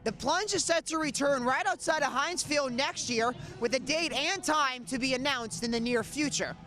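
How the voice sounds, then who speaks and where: A man's voice: very high-pitched, normal speed, pushed and bright, soft background music. A young American man reporting and interviewing in a presentational, announcer-like broadcast style. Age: young adult. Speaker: student sports and news reporter, interviewer.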